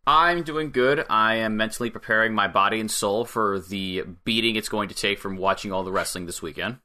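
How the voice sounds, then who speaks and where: A man co-hosting a casual podcast, recapping wrestling matches in a wry, relaxed way and swearing freely. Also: fairly low pitch, fairly quick. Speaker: podcast co-host.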